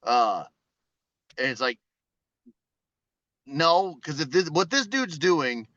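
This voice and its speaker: A man's voice: on the high side, big pitch swings, slow, fairly bright tone, dry recording, lo-fi sound. A man on a sales call answering questions bluntly, swearing freely and restarting his sentences. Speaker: sales rep prospect. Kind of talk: casual phone call.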